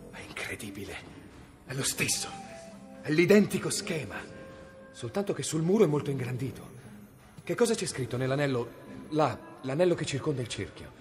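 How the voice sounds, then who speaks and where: An actor playing a stern medieval male inquisitor in a radio drama, measured and brooding as he reads from an old book. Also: medium pitch, big pitch swings, soft background music, lightly acted. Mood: intent.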